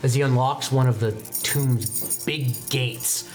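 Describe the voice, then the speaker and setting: A man's voice: medium pitch, steady tone, takes it slowly. Male game master narrating over background music. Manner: descriptive, dramatic storytelling with casual asides. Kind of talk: narrating a tabletop roleplaying game.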